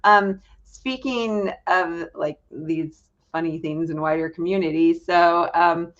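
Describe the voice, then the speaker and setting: A middle-aged woman hosting a casual interview, with informal, halting phrasing. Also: fairly low pitch, highs cut off. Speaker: podcast host.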